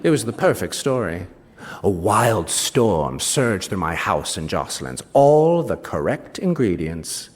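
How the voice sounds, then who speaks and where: An actor playing a smug, ageing novelist, reading a short story on stage with wry, self-satisfied, theatrical emphasis. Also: male voice, on the low side, sing-song, measured pace. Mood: wry relish. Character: smug ageing novelist recounting his betrayal of a friend. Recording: reverberant hall, live stage reading.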